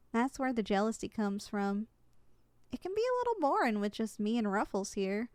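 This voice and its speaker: Woman acting as a gentle giantess, animated high voice. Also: dry recording. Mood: candid, wistful. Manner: gentle, affectionate, conversational, folksy endearments. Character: kind, caring giantess homeowner, young country-dwelling woman. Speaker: ASMR roleplay performer. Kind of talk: ASMR roleplay, intimate character acting.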